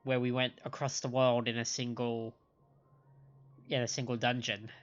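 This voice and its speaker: A man's voice: mid-range voice, level tone, moderate pace. Man streaming games, slightly dull audio. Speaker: game streamer. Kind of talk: casual live playthrough commentary, reading dialogue aloud. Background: game music.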